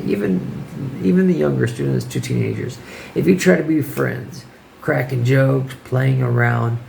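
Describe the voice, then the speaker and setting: Hoarse, gravelly-voiced man giving teaching advice. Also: medium pitch, a little mellow, a little hiss or hum, poor audio. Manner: informal, firm, checks in with "okay?". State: hoarse, losing his voice. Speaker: English teacher. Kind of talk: casual advice vlog.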